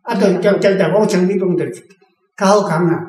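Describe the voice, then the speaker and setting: Man, Taiwanese accent, high-pitched, casual explaining, repetitive, off-mic, roomy echo. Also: steady tone, a little fast, darkish tone. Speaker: guest. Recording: echoey room, distant from mic.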